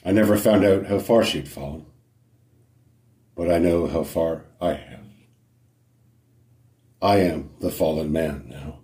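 A man reciting a poem solemnly as a poet, in measured phrases with dramatic pauses. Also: low-pitched, dark tone, reverberant.

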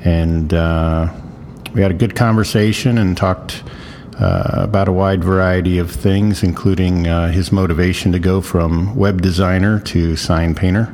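A man's voice: low-pitched, steady tone, measured pace, a little mellow, slight background noise. A man hosting a podcast and interviewing a guest, talking casually with many fillers. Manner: laid-back, lots of fillers, asks short follow-up questions. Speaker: podcast host and sign painter. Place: bar.

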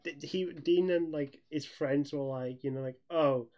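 A young man with a fairly high-pitched voice chats casually as a podcast co-host. He rambles through anecdotes, restarting excitedly and giggling easily. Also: unhurried. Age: young adult. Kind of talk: casual chat, telling anecdotes.

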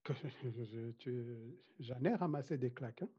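A man's voice: medium pitch, measured pace, breathy edge. A man from Rwanda who grew up speaking Kinyarwanda, talking casually as an interview guest, hesitant, with many restarts and frequent laughs. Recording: dull lo-fi sound.

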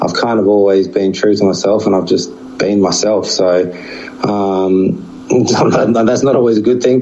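A man in his forties whose accent blends Australian and English, talking frankly and reflectively about his playing days. His voice sits low, and the recording sounds dull and lo-fi. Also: a little mellow. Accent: mix of Australian and English. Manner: frank, reflective, with a wry laugh. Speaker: former cricketer, guest. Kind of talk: candid interview answers. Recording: dull lo-fi audio.

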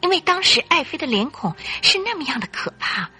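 Woman narrator, bright voice, lively intonation, suspenseful radio storytelling, music behind. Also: high voice, fairly quick. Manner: expressive, suspenseful. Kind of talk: scripted dramatic storytelling for radio.

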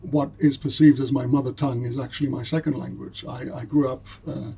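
A man's voice: mid-range voice, flat delivery, brisk, creaky, dark tone, slight background noise. A man whose first language is German, speaking English in a hesitant way with many fillers, chatting with colleagues in an academic meeting. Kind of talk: casual academic discussion.

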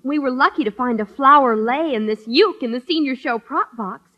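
An actress playing a cheerful, supportive teenage daughter in an old radio sitcom, encouraging in manner. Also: female voice, sits high, normal speed. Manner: bright, encouraging. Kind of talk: 1950s radio sitcom acting. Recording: muffled, noisy old-time radio recording.

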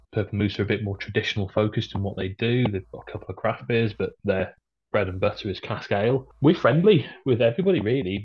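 Welsh brewer chatting, low voice, lo-fi. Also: male voice, breathy edge. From North Wales. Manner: rambling, frequent restarts and fillers. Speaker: brewery guest. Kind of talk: casual podcast chat. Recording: dull lo-fi sound.

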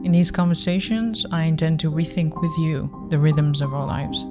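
A woman hosting a podcast, reading a scripted intro and then asking interview questions in a measured, deliberate way. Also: low voice, steady tone, over loud music. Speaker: podcast host.

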